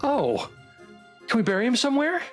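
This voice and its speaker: A man's voice: very high-pitched, very animated, normal speed, faint music behind, pitched above the performer's own voice. A man voicing an anxious, well-bred young woman in a visual novel, with a prim, emphatic manner. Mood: panicked. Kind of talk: character acting in visual novel readthrough.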